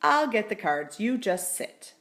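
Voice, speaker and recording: A proud older married man in a children's storybook, acted out by a woman reader. He is a gruff sort turned cheerful, speaking in a brisk, plain, emphatic way. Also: medium pitch, very animated, a bit bright. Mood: cheerfully assertive.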